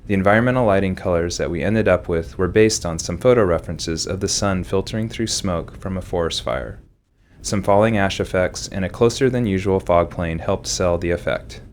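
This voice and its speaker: A man speaking as a game developer, narrating a commentary track. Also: moderate pace. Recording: rumbling background noise.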